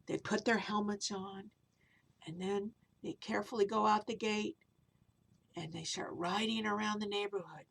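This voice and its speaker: A woman's voice: mid-range voice, vocal fry, pushed and bright. A woman storyteller narrating for young children in simple, repetitive phrases, with little explanatory asides.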